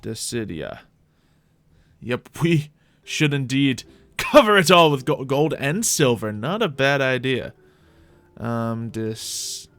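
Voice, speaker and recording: A man's voice: medium pitch, lively intonation, takes it slowly, a bit bright, dry recording. A man hosting a gaming series, thinking aloud in light roleplay with mock-archaic, formal fantasy phrasing. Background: music.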